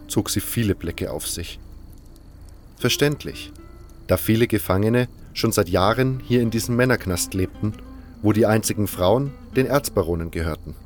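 A man's voice: fairly low pitch, darkish tone. A man narrating a fantasy audio drama in the first person, reading from a script.

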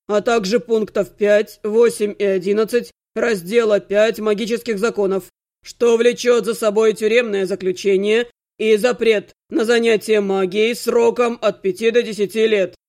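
A woman voicing a businesslike male mage investigator in an audiobook, speaking in an official, measured way. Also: mid-range voice, steady tone, darkish tone, voice pushed down, close to an everyday voice. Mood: formal. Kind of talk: audiobook character acting.

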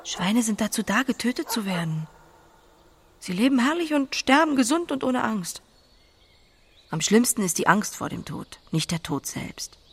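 A woman's voice: on the high side, sing-song, normal speed, creaky, breathy edge, full character voice. An actress playing a young farm woman who butchers pigs, blunt and plain-spoken but tender, in a naturalistic audio drama. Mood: matter-of-fact, earnest.

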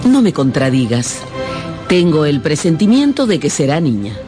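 An actress playing an imperious, stubborn older widowed great-aunt in a radio drama, with a domineering, emphatic manner. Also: female voice, low-pitched, very animated, normal speed, over music.

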